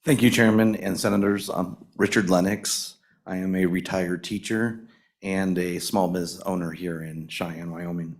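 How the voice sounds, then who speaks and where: A retired teacher, an older man, giving deliberate public testimony with many pauses and ums. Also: low-pitched, fairly even intonation. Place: legislative committee hearing room.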